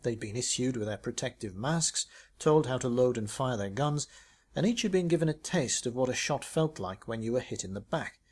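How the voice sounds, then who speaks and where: A man's voice: mid-range voice, lively intonation, normal speed. A man reading his own short story as a scripted narration.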